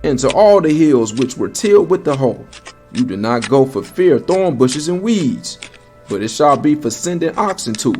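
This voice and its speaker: A man's voice: a little high, very animated, moderate pace, a little mellow. A man narrating a dramatized Bible reading in a solemn, declamatory and emphatic manner. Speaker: scripture narrator. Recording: echoing voice over background music. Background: background music.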